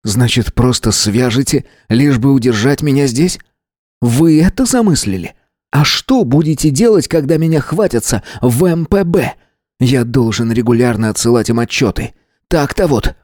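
A man reading aloud, voicing a frightened, near-hysterical male Earthman who talks shrill and pleading. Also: lively intonation, normal speed, darkish tone, no echo, clean studio sound, close to an everyday voice. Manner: shrill, pleading, rising to squeals.

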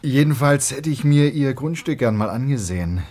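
An actor playing a pushy, scornful young husband in a radio drama, speaking in an impatient, sardonic, colloquial way. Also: male voice, mid-range voice, animated, normal speed, darkish tone, natural voice. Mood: ingratiating. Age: young adult. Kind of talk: naturalistic German radio drama dialogue.

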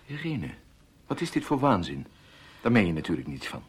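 A man playing an insistent, menacing secret lover in a radio drama, with an urgent, halting delivery that repeats words. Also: lowish voice, very animated, slightly rough, heavily acted. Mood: incredulous, pleading.

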